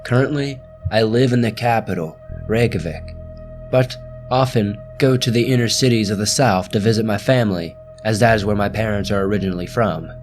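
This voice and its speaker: A man hosting a scary story channel, reading ghost stories aloud in a slow, measured, hushed storytelling delivery. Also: fairly low pitch, faint music behind. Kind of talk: scripted horror story narration.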